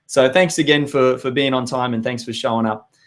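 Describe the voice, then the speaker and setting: Hoarse, chatty Australian man. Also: mid-range voice, fairly even intonation, fairly quick. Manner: chatty, upbeat, rambling with restarts. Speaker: live web show host. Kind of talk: live webinar chat to viewers.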